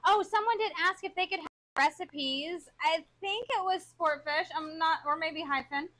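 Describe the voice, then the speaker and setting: Bright, high-voiced teasing woman co-host. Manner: teasing, quick banter. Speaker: co-host reading chat. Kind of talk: casual live-stream chat.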